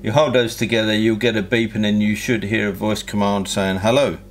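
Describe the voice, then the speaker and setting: A man's voice: lowish voice, flat delivery, fairly quick. Man explaining gear, noisy background. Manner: practical, matter-of-fact, explanatory. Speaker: product reviewer. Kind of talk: hands-on tutorial review. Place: indoor motorcycle workshop bench.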